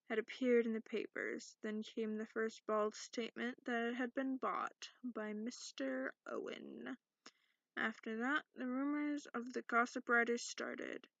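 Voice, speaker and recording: A woman playing a sarcastic, cranky dragon in a soft-spoken ASMR bedtime reading, recorded close up in lo-fi. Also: a little high, dry recording, full character voice. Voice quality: creaky. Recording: dull lo-fi close dry recording.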